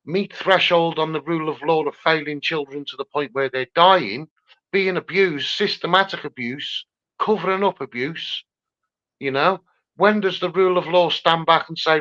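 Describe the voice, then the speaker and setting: A man's voice: a little high, pushed and bright. A man with a Scouse accent giving an impassioned, insistent campaigner's talk full of rhetorical repetition. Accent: Scouse (Liverpool). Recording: slightly dull, lo-fi sound.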